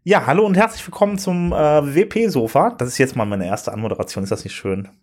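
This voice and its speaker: A man's voice: mid-range voice, animated, brisk, a little mellow, husky. A man in his thirties from Germany, co-hosting a podcast in a hesitant, playful way with many fillers. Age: 30s. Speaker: podcast co-host. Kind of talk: casual podcast chat.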